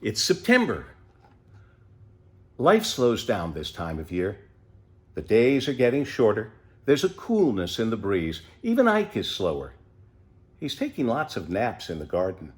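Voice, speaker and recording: A man reading a children's storybook as an unhurried, contented eighty-year-old pet tortoise who savours everything with wonder. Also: lowish voice, wildly swooping pitch. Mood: serene, savouring. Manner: savouring, leisurely, full of wonder.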